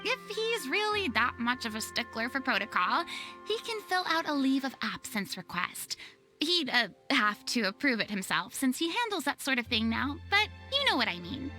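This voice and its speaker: High, animated, spirited young lady. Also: moderate pace, exaggerated voice. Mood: chatty. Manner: expressive, chatty, dramatic. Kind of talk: video game voice acting. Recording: music behind the voice.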